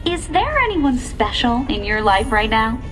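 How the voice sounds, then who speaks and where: An eager female news interviewer speaks with chatty presenter polish. Her voice is fairly high and very lively, over clear music. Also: a little slow. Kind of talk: television interview in a video game.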